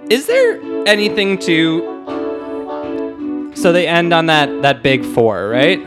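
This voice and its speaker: A man in casual conversation as a podcast host, picking apart music. He speaks informally and feels his way through each thought, while music plays clearly behind him. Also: fairly high pitch, very animated, takes it slowly. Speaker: podcast co-host discussing songs. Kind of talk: casual chat, analysing music. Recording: music playing and instrument behind. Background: music and instrument.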